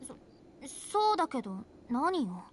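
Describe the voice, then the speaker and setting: Lively high-pitched pouty vampire girl. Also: fast, slight background noise. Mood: defensive. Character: pouty, carefree young female vampire who looks human. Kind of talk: Japanese visual novel voice acting.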